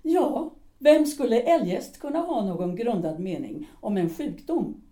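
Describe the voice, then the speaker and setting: Actress doing a sharp-tongued embittered wife, dry sarcasm, mellow voice, roomy sound. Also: female voice, a little high, expressive, natural voice. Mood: cutting. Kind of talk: audio drama acting.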